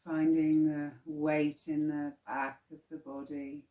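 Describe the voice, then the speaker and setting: A woman teaching yoga nidra, guiding relaxation in a calm, soothing, hushed way with long pauses. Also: low-pitched, reverberant. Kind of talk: slow guided relaxation meditation.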